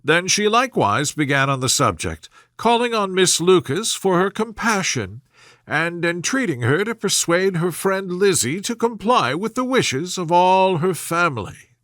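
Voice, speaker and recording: Expressive male sleep-story narrator, dry sound. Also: sits high, measured pace, no room sound. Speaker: audiobook narrator. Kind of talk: scripted narration, soothing sleep-story reading.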